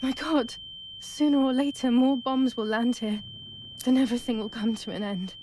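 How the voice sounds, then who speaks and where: A woman playing a troubled, brooding young woman in a wartime psychological game, narrating in a measured, dramatic, introspective way. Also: high-pitched, steady tone, noisy background, lightly acted. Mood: fearful. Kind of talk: scripted first-person game narration.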